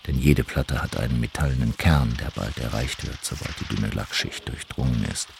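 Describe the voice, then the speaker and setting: A man reads a scripted literary text as narrator in a solemn, measured, declamatory way. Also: extremely low pitch, gravelly, noisy background. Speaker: narrator reading quoted texts.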